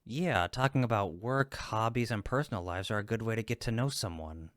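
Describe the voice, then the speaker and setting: Friendly male cupid roommate, acted by a man for roleplay audio: lower register, teasing yet supportive, emphatic, very dry sound. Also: expressive, brisk, fairly bright tone, dry recording. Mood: reassuring. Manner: playful, reassuring, emphatic. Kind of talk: roleplay audio comfort acting, one-sided conversation.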